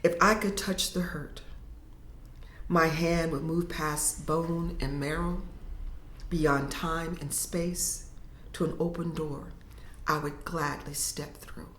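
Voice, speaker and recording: A woman poet reading her verse slowly and dramatically, sinking to whispers at times, then talking casually about her writing. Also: low voice, steady tone, slight background noise. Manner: slow, dramatic reading with hushed whispered lines. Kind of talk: poetry reading and casual interview. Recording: echoey room.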